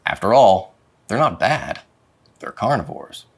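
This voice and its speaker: A man reading a children's picture book aloud with dramatic, expressive phrasing. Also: lowish voice, a little slow, gravelly. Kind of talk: expressive storybook read-aloud. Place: indoor studio with plain dark backdrop.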